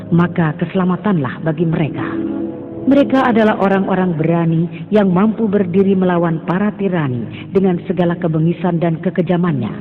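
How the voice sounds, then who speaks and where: Male narrator, high-ish voice, solemn preachy read over music, tinny track. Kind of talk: scripted religious story narration. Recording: thin phone-like sound over music.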